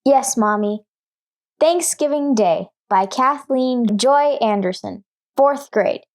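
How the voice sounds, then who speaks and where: A woman's voice: fairly high pitch, slow, darkish tone. An actress playing an earnest nine-year-old schoolgirl in a radio sitcom, with a singsong way of reciting. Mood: eager, earnest. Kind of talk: 1950s radio sitcom acting.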